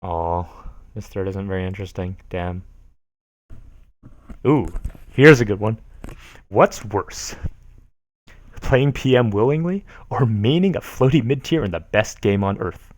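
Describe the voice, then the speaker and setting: Animated man reading posts aloud. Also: lowish voice, measured pace, long pauses. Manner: animated, sarcastic, laughs at what he reads. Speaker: streamer. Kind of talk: casual reading of forum posts.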